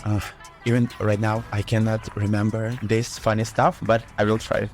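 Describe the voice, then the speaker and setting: A man from Ukraine, an artist answering interview questions in a halting way, searching for his words. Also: lowish voice, little pitch movement, faint music behind. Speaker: artist guest.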